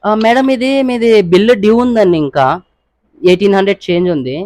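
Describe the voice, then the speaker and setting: A man's voice: sits high, expressive, brisk, a little mellow, no room sound. A young man chatting casually as a prank caller and video host, mixing English words into his speech. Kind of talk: casual prank phone chat.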